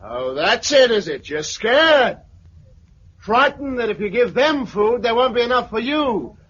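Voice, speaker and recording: High-pitched, angry British agitator. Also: male voice, big pitch swings, measured pace, slightly rough, heavily acted. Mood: furious, scornful. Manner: forceful, accusing, haranguing. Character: angry, defiant male agitator championing the helpless blind. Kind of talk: 1950s radio drama acting. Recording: muffled vintage radio with loud crowd.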